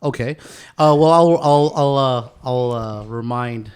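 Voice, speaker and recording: A male podcast co-host in casual conversation. He talks in a loose, rambling, informal way, peppered with buddy-style slang, at a middling pitch for a man. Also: measured pace, clean tone.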